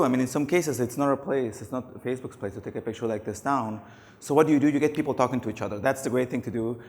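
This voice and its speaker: A middle-aged man losing his voice, giving a casual, anecdotal conference talk on stage with many fillers. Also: medium pitch. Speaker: conference speaker. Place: large conference hall. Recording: long hall reverb.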